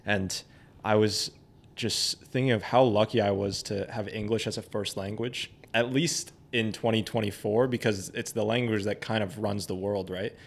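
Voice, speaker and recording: A man hosts a podcast conversation, relaxed and easygoing. He agrees often with short replies and thinks out loud in a casual, friendly chat. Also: lowish voice, normal speed, vocal fry. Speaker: podcast host.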